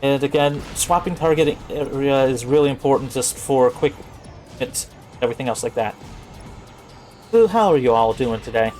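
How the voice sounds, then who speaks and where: A man's voice: mid-range voice, moderate pace, darkish tone. A man commentates his video game run live in a casual, rambling way. He explains tactics as he goes, with game music faintly behind him. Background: video game music and sounds.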